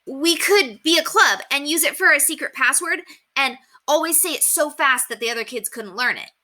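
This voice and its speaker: A woman's voice: high-pitched, lively intonation, normal speed, bright, forceful tone, pitched above the performer's own voice. A woman voicing an eager young schoolboy in a children's storybook, keen and exclaiming. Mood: eager, enthusiastic. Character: eager young schoolboy hatching clubhouse plans with pals.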